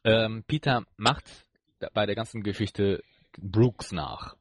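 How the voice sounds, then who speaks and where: A man with a slightly low voice co-hosts a podcast. He explains and reviews in a casual, chatty way, recorded dry with no room sound. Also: slow, no room sound. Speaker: podcast co-host.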